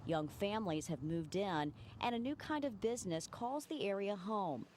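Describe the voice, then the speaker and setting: A woman's voice: mid-range voice, animated, moderate pace, a little mellow, slight background noise. A woman reporter reading scripted narration for a television news feature. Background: music.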